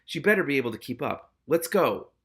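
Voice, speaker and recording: A man voicing a brisk, decisive male rat leader who gives curt orders in a storybook. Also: medium pitch, very animated, fairly bright tone, slightly rough, full character voice. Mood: brisk, firm.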